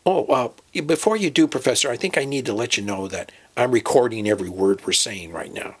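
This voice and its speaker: A man's voice: medium pitch, fairly quick, slightly rough. A man playing an earnest, agreeable adventurer and documentary maker, talking hesitantly and conversationally in an amateur audio drama. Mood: apologetic, explaining.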